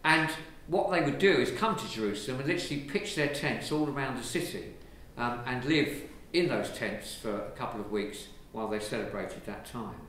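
An Englishman, a priest, giving a lively, conversational sermon from the pulpit of a large church, filmed from a distance. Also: medium pitch, normal speed, few pauses. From England. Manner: lively, conversational preaching with gestures. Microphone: far camera. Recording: very echoey church from far off, faint hiss.

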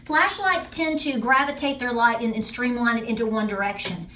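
A woman's voice: on the high side, moderate pace, noisy background. Chatty woman in an echoey bathroom. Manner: chatty, emphatic, talks straight to viewers. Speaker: homesteading channel host. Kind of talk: casual product review vlog. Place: small bathroom indoors.

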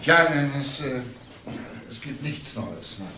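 An actor playing an insistent, probing police detective in a crime drama, nudging with questions. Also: male voice, mid-range voice, big pitch swings, takes it slowly. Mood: businesslike. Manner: probing, with tag questions and false hesitancy. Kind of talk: naturalistic German TV crime drama acting. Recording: roomy, noisy old TV soundtrack.

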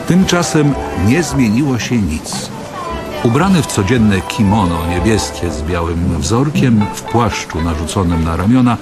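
A man reading scripted first-person literary narration for an audio drama. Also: on the low side. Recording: loud music behind the voice. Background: loud music.

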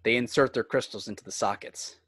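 A man's voice: medium pitch, fairly quick, fairly bright tone, husky, dry recording. A man working as narrator and host of a fan script reading. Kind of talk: reading screenplay directions, host outro. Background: music.

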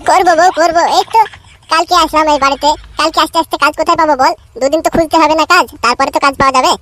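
A man voicing a hapless, placating poor husband in an amateur cartoon, with a pitch-shifted voice. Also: fairly even intonation, very fast, background noise. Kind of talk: amateur cartoon comedy dialogue.

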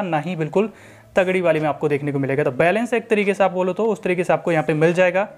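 A man speaks in a high voice at a quick clip. He explains step by step in a casual, informal reviewer style, often circling back over the same phrases. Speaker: tech reviewer, channel host.

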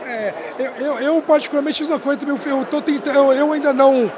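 A man in his thirties interviewing a guest on a handheld mic at a busy expo hall, asking relaxed, rambling questions. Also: very high-pitched, quick pace, noisy background. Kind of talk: casual interview.

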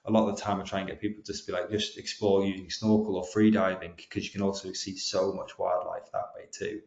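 Welsh man, low voice, hesitant ums, speaking on a video call in a roomy space. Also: flat delivery, fast, gravelly, darkish tone. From Wales. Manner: hesitant, frequent ums and restarts. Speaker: guest speaker. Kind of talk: presentation talk with slides. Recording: dull, roomy online call audio.

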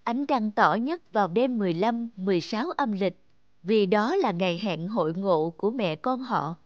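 A storyteller giving scripted narration for a fairy tale in a measured, slow way. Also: medium pitch, expressive, no echo, lo-fi sound.

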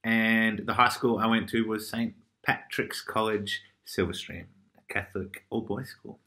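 A man in his thirties with a New Zealand accent, a musician guest reading out questions plainly and chatting in a relaxed way, recorded indoors by a window on a camera at arm's length. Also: lowish voice, unhurried. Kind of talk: casual chat.

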